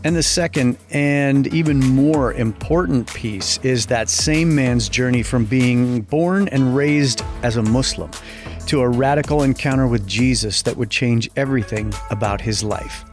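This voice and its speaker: A man hosts an interview podcast in a mid-pitched voice, with faint music behind him. His delivery moves between prepared introduction and conversational questioning. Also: measured pace. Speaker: podcast host.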